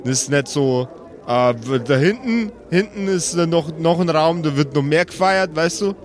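A man's voice: a little high, moderate pace, natural voice. A man voicing a laid-back, dim male orc party bro who rambles in slang for a tabletop game. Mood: laid-back, rambling. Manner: slangy, stoner-like drawl, repeats himself.

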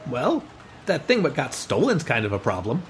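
An actor playing a brusque, slangy working-class catering worker in a parody of old-time radio drama. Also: male voice, mid-range voice, wildly swooping pitch, normal speed, faint music behind. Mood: offhand. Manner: blunt, slangy, folksy. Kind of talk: parody 1940s radio acting.

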